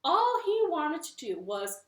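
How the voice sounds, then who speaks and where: A woman library storyteller reading a children's story at home, animated, with playful asides to her listeners. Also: sits high, takes it slowly, roomy sound. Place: living room at home.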